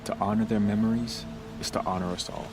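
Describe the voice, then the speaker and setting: An actor playing a humble, remorseful priest in a video game, speaking in a measured, formal, earnest way. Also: male voice, fairly low pitch, steady tone, over music, natural voice. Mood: mournful, reverent. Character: solemn, grieving young priest, humble and remorseful.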